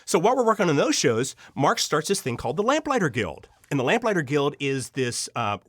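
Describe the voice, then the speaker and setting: A man's voice: on the high side, very animated, a little fast, fairly bright tone, no room sound, clean studio sound. A man speaking as an interview guest, an audio drama writer and director who tells anecdotes with gushing enthusiasm and acts out the dialogue. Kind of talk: animated anecdotal storytelling.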